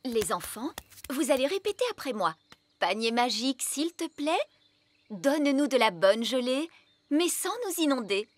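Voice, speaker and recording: High, swooping fussy fairy nanny. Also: female voice, big pitch swings, normal speed. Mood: bossy. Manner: theatrical, haughty, exclamatory. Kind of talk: animated children's cartoon dub. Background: music.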